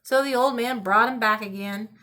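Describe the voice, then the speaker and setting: A woman reading a children's storybook aloud in an animated narrating style. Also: fairly high pitch, normal speed. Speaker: storybook reader.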